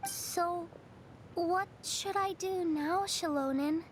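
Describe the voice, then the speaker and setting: Brief: a shy, lost young girl, high-pitched, hesitant, game voice with faint hiss. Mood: unsure. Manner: hesitant, halting. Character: timid lost young girl, unsure of herself.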